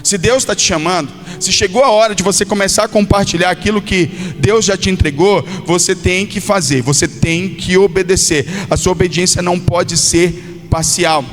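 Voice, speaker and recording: A man's voice: high-pitched, moderate pace. A Brazilian man preaching a sermon as a pastor, addressing listeners directly and exhorting them with repetition and acted-out quotes. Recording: long hall reverb, music behind. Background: music.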